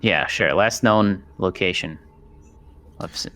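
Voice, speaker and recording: Low-voiced man at a tabletop game. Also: slow pace, bright, forceful tone. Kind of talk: casual game chat.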